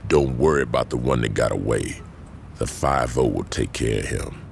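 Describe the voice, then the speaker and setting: Very deep, gravelly gang boss. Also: male voice, moderate pace, slight background noise. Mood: stern. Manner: firm, authoritative, street slang. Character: commanding, dismissive male gang boss, unhurried and menacing. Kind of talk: video game cutscene acting.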